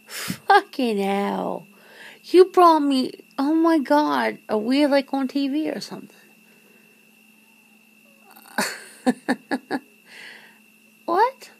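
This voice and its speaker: High-voiced, slow-talking disbelieving girlfriend, acted by a woman. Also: animated, exaggerated voice. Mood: amused disbelief. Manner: halting, breathy sighs and chuckles, often dropping to a whisper. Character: young woman, girlfriend of a vampire, nervous, disbelieving, teasing. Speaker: ASMR roleplay performer. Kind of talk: ASMR roleplay, one side of an intimate conversation.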